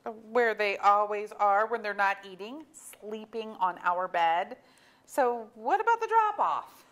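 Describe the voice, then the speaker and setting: A woman's voice: medium pitch, expressive, a little slow, fairly bright tone. A woman playing a sensible, dryly teasing middle-aged suburban wife in a staged play reading. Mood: curious, dry.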